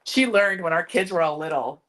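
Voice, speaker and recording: A woman's voice: on the low side, expressive, moderate pace, a bit bright, low-quality recording. A woman co-hosting a live sewing session, chatting casually with playful teasing. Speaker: co-host sewing along. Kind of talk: casual live-stream chat.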